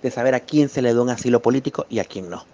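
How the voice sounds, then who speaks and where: A man's voice: medium pitch, fast, dark tone, muffled recording. A man from Spain with a Venezuelan accent, a political scientist giving an emphatic, indignant testimony from Miami. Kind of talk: recorded personal testimony.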